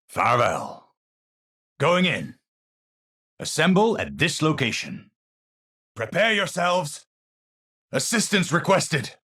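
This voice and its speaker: An actor playing a cerebral, haunted, middle-aged scientist in a video game, speaking formally and precisely with theatrical, pensive phrasing. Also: male voice, a little high, bright, forceful tone, heavily acted. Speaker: video game hero character. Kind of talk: scripted game voice lines, theatrical.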